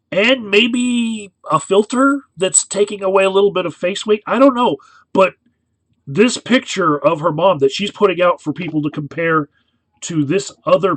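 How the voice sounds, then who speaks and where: Male video commentator, on the higher side, casual and explanatory, checks in with listeners often, very dry sound. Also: dry recording, rough recording. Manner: conversational, frequently checks in with "right?". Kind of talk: casual analytical commentary comparing images.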